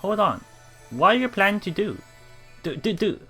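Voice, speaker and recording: A man's voice: sits high, big pitch swings, moderate pace, fairly bright tone, husky, soft background music. A man reading a game aloud as a let's play streamer, reacting casually and repeating phrases as he puzzles things out.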